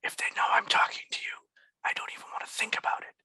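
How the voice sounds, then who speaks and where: A man's voice: fast talker, bright, forceful tone, dry recording, rough recording. A man voicing a frightened secret informant who whispers a recorded message. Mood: fearful, urgent.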